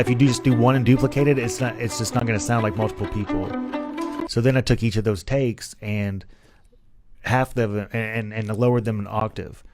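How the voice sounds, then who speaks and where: A man gives an informal tutorial on music production. He speaks in plain, everyday language, explaining his process casually as a musician who does the work himself. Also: on the low side.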